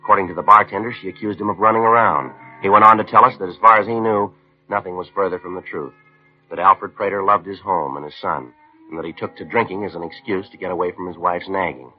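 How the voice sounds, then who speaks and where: Deadpan cop, deep quick clipped talk, polite but stiff, muffled fifties radio. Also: male voice. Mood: flat, factual. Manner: deadpan, clipped, matter-of-fact. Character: stoic, courteous police detective sergeant who narrates. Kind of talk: 1950s radio crime drama acting and narration. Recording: dull lo-fi 1950s radio recording.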